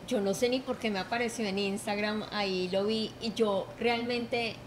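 A woman's voice: mid-range voice, fairly even intonation, normal speed. A middle-aged woman with a Bogotá Colombian accent, chatting casually and telling stories as an acting guest on a podcast. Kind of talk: casual storytelling chat. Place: shop with shelves of goods, indoors. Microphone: podcast mic at table. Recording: clear ambient noise behind voice.

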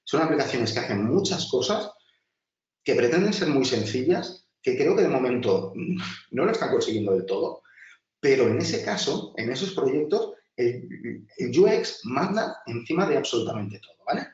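A man teaches a design class over slides, chatty and full of restarts. His voice sits at an everyday middle pitch. It comes a distance into a roomy space, with dull, muffled audio. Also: very animated, normal speed, darkish tone. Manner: chatty, many restarts and filler, addresses class directly. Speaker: design instructor. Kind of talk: webinar lecture over slides.

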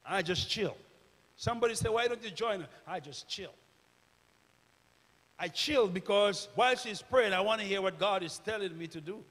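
A middle-aged man preaching a sermon on a church stage with a handheld microphone, swinging from loud shouts to hushed near-whispers. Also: high-pitched, moderate pace, long pauses. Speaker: minister. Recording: reverberant church hall.

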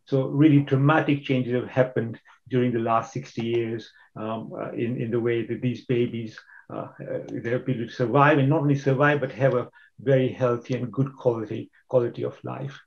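Halting man hosting an online event. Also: mid-range voice, fairly even intonation, vocal fry, lo-fi sound. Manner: halting, with many restarts and fillers. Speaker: host. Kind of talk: introducing speakers at an online event.

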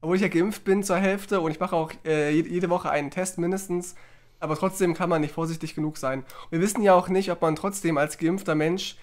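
A man's voice: fairly high pitch, steady tone, a little fast. A man co-hosting a podcast in casual talk, chatty and rambling with fillers. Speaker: podcast co-host.

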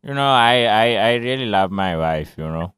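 A man's voice: fairly low pitch, animated, moderate pace, fairly bright tone, smooth, dry recording. A man playing a tipsy, hesitant husband on a prank call, rambling and repeating himself. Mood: insistent, sentimental. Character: tipsy, rambling husband baking a Christmas cake. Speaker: radio host making a prank call.

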